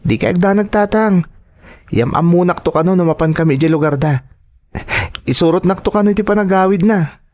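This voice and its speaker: A man's voice: high-pitched, a little fast, pauses often, darkish tone, close to an everyday voice. A man with an Ilocano accent playing an earnest, respectful adult son in an audio drama. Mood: reassuring. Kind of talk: Ilocano radio-style drama acting.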